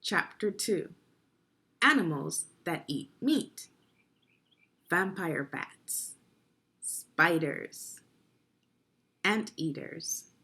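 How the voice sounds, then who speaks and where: A woman narrating an instructional children's read-along as a teacher, phrasing deliberately and guiding young listeners through the text. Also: on the low side, expressive, pushed and bright, breathy edge. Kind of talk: instructional read-aloud of a children's nonfiction book.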